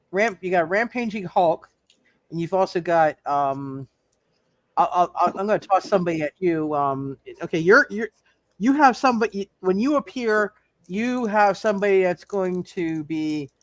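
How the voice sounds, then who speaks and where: A man with a fairly high voice acts as game master for a superhero roleplay session. He talks in halting bursts, often repeating words as he works through the rules. The sound is dry, with little room in it. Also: dry recording. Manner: halting, repeats words while thinking aloud. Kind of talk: running a live tabletop superhero roleplay game.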